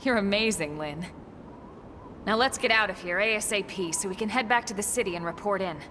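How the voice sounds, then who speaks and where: A woman's voice: medium pitch, a little fast, background noise, lightly acted. A woman playing a dryly wry, decisive squad leader in a video game, speaking with controlled composure. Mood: composed, decisive. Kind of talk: video game cutscene acting.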